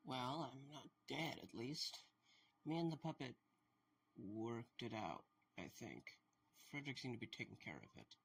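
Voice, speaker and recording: A man acting in an audio drama as a subdued, empathetic man who speaks haltingly. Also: medium pitch, lively intonation, gravelly, a bit bright, breathy edge, exaggerated voice. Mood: hesitant, weary. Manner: hesitant, halting delivery. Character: Mike, subdued, empathetic man facing haunted animatronics.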